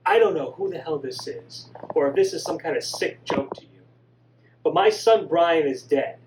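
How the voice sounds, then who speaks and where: A man voicing a grieving middle-aged mother, emphatic and sharp when scolding. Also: sits high, big pitch swings, measured pace, echoey room. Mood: angry. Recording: distant mic with faint hum.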